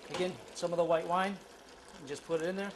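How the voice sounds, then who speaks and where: Middle-aged male cooking host, keen and chatty, slightly high voice, noisy kitchen sound. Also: steady tone, quick pace. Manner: enthusiastic, talks while cooking, many fillers. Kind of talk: home cooking demonstration. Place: home kitchen.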